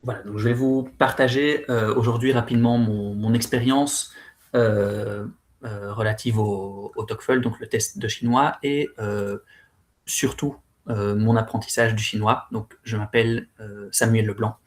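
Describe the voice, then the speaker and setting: A man's voice: lowish voice, steady tone, measured pace. A man in his thirties from Belgium giving an online talk about his experience, with many hesitations and restarts. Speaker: speaker sharing experience.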